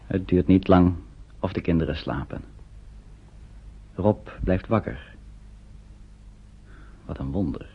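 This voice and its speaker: An actor playing a sober, concerned narrator in a radio play, with a husky, gravelly voice. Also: male voice, low voice, slow pace, long pauses, full character voice. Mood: sober, probing. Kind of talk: scripted dramatic narration. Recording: dull old radio recording.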